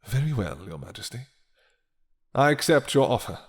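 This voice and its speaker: A man voicing a proud vampire lord in a dramatic roleplay, courtly, measured and threatening. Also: mid-range voice, very animated, husky. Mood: formal, guarded. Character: proud, menacing, smooth-talking male vampire lord.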